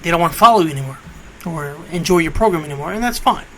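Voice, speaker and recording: A man in his late thirties hosting an internet radio show, talking off the cuff in a casual, halting monologue. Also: a little high, expressive, pushed and bright. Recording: clear background noise.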